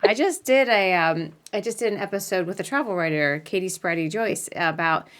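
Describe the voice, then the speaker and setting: Casting: woman podcast host on a video call, lowish voice, talkative, laughs easily. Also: a little fast, fairly bright tone. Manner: chatty, laughs often, apologetic at start. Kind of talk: casual live video interview.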